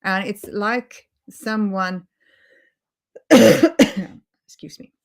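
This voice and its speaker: A woman with a Swedish accent, a native Swedish speaker, giving a gentle, earnest live-stream talk as a holistic healing coach, repeating words for emphasis. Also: medium pitch, drawn-out words, gravelly. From Sweden.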